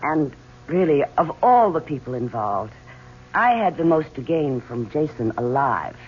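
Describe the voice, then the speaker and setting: A woman's voice: deep voice, sing-song, a little slow, slightly rough, full character voice. A woman playing a cool, sly lover under questioning, poised and arch, in a 1970s radio drama. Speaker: actor. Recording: dull 1970s radio, faint noise.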